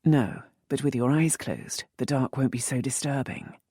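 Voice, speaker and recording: A woman's voice: very deep voice, normal speed, mellow, breathy edge, no echo, clean studio sound. A woman doing a wry young earl. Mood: gentle.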